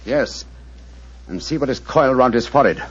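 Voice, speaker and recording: Cool detective, crisp and authoritative, husky tone, dull old radio sound. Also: male voice, mid-range voice, animated, brisk. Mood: calm, explaining. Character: brilliant cool-headed male detective, commanding. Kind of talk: 1940s radio acting.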